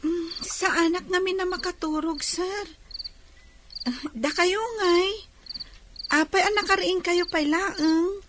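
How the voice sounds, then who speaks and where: A woman's voice: extremely high pitch, fairly even intonation, slow pace, pauses often, noisy background. A woman playing a humble, grateful young blind housemaid in an audio drama. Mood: shy, grateful.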